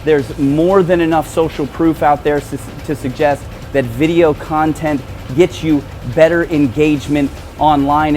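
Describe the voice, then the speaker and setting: A man's voice: fairly high pitch, a little mellow. An American man speaking conversationally as a radio host and promoter. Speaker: radio show host and business owner. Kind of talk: conversational hosting and promotional talk.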